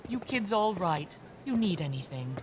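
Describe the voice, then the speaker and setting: A woman's voice: fairly low pitch, big pitch swings, moderate pace, background noise. Kindly, reassuring landlady. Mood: gentle, reassuring. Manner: gentle, encouraging. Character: Mrs. Hudson, kindly, motherly, reassuring landlady. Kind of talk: audio drama acting.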